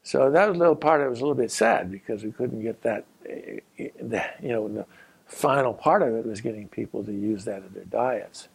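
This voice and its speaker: Older man with a gravelly, husky voice. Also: medium pitch. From Colorado, USA. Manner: unhurried, halting, with frequent pauses and "uh" fillers. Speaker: former Peace Corps volunteer and geography professor. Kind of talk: reminiscing personal story.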